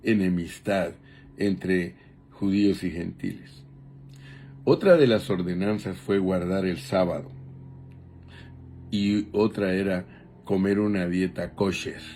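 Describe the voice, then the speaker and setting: A man preaching a Bible teaching sermon in a deliberate, measured way, pausing between short phrases. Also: low voice, steady tone, warm tone, a little hiss or hum.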